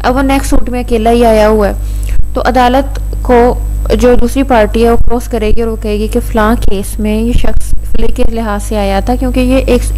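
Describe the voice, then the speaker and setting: Woman, slightly high voice, very mellow tone, explanatory lecture delivery, conversational. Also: a little hiss or hum, rough recording. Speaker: law lecturer. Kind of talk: exam-prep lecture, reading out articles and explaining them.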